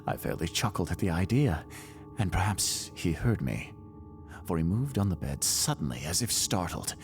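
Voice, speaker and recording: A deep, creaky-voiced madman tells a Gothic horror tale of his own crime. He is nervous, boastful and melodramatic, lurching from hushed secrecy to shrill insistence that he is sane, with music playing behind him. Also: sing-song, moderate pace. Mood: boastful, cunning. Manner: melodramatic, swinging from hushed whispers to shrill outbursts. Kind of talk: theatrical Gothic horror story monologue.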